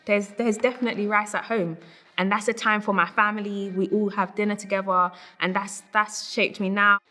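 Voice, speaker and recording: Bright-voiced London woman reminiscing. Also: mid-range voice, level tone, normal speed, faint music behind. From South London. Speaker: interviewee. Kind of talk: casual reminiscence.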